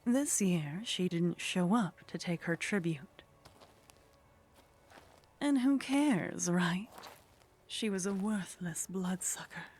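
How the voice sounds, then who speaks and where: A woman playing a teasing, condescending female vampire in a soft ASMR roleplay, languid and haughty, often slipping into whispers. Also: mid-range voice, a little hiss or hum, close to an everyday voice. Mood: teasing, mocking. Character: teasing, condescending female vampire, sly, centuries-worldly contact.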